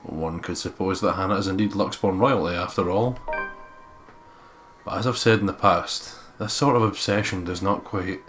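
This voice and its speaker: A man's voice: sits low, steady tone, moderate pace, over music. A man hosting a let's play, reading visual novel narration aloud and breaking off for joking asides.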